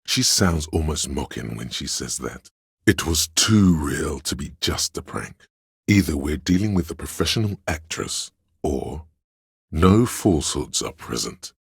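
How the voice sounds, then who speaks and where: An extremely deep, gravelly theatrical schemer. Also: male voice, lively intonation, a little slow, no echo, clean studio sound, exaggerated voice. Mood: suspicious, sly. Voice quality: gravelly, husky. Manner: theatrical, archaic, sly courtly flourishes. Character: theatrical, scheming inner voice of drama, a courtly servant. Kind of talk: video game voice lines, addressing a liege.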